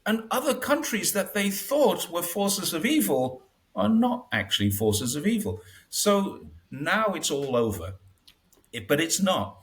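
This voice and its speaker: A man's voice: sits high, very animated, unhurried. A man as an interview guest, talking in a casual, wry way and acting out quotes with short laughs. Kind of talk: casual conversational commentary.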